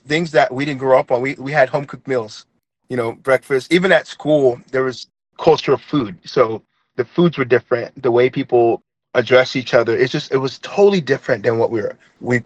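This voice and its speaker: A man's voice: medium pitch, normal speed, no room sound, poor audio. A young man who grew up in Trinidad, speaking with a light Trinidadian accent and reflecting earnestly, with lots of fillers and restarts, as an interview guest. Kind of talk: interview, personal story.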